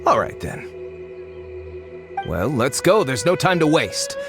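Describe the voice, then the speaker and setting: Greedy eager treasure hunter game character, voice all over the place, theatrical, music behind. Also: male voice, fairly high pitch, big pitch swings, takes it slowly, exaggerated voice. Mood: enticed, scheming. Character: eager, greedy male treasure-seeker posing as a learned enthusiast. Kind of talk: video game voice acting.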